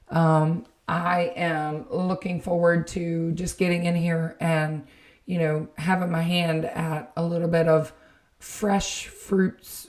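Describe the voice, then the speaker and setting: Tired, slightly raspy woman in an echoey room. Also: on the low side, a little mellow. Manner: rambling, many ums, self-correcting. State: tired, late at night with allergies. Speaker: home and garden vlogger. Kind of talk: late-night voiceover, casual chat. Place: indoor room at home, voiceover.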